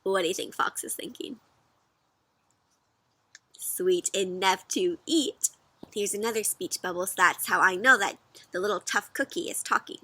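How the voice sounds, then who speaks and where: A woman reading a storybook aloud for children in an expressive, interactive way, putting questions to the listener. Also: medium pitch, normal speed, long pauses, no echo.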